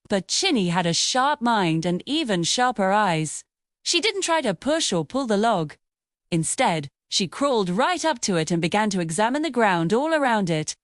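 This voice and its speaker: A woman reading a scripted children's story as an expressive, dramatic storyteller. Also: fairly high pitch, dry recording, studio quality.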